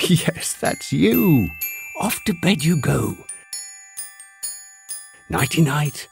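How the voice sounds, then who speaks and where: A man narrating a bedtime story in a soft, soothing way, speaking directly to a child listener. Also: on the high side, very animated, drawn-out words, gravelly, soft background music.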